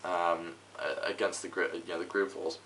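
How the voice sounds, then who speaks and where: A young man giving an informal tutorial in a halting, conversational way, recorded at home on a camera microphone. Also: deep voice, little pitch movement, fairly bright tone, rough recording. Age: young adult. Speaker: host, hobbyist record restorer. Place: small room at home, indoors. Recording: faint background noise, home recording.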